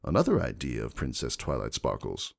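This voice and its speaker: A man plays a shrewd aristocratic unicorn stallion narrating a dramatic fantasy story. The voice is very low with a gravelly creak, measured and refined in manner, with highly animated intonation. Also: exaggerated voice. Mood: composed, calculating. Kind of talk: first-person dramatic fan fiction narration.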